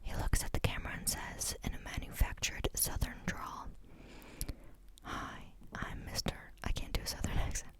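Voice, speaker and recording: A woman reading a book aloud in a soft, unvoiced whisper, steady but sometimes stumbling on a word and starting it again. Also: a little fast, hardly any pauses. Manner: reads steadily, stumbles and restarts on words. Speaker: reader.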